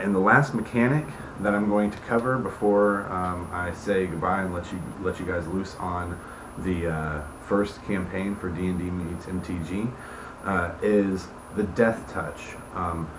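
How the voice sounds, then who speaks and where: A man hosting and casually explaining game rules like a dungeon master, often checking that listeners follow. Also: deep voice. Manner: informal, checks listeners follow with tag questions. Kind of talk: casual explanatory talk to camera. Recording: echoey room with background noise.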